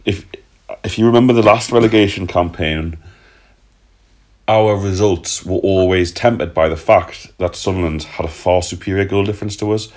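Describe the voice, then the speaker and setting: Deep-voiced man chatting football. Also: moderate pace, a little mellow. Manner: chatty, answers listener questions, swears casually. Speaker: podcast host. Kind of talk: casual football podcast chat.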